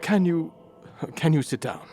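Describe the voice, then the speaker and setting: Guy acting as bitter old victorian miser, husky and slow, theatrical audio drama style. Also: fairly high pitch, big pitch swings, darkish tone, faint music behind. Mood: wary disbelief. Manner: theatrical, swinging from curt to pleading. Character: bitter old male miser, skeptical but frightened.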